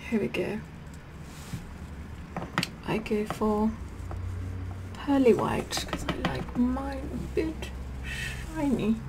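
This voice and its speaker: A haughty, sassy queen acted out by a woman speaks in a breathy ASMR half-whisper. The voice sits fairly high with a creaky edge and moves at a slow, pompous pace. She sounds vain and bossy throughout the roleplay. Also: noisy background. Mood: bossy, instructive. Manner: pompous, deliberate, repeats words softly. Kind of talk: ASMR roleplay makeup tutorial, whispered and soft-spoken.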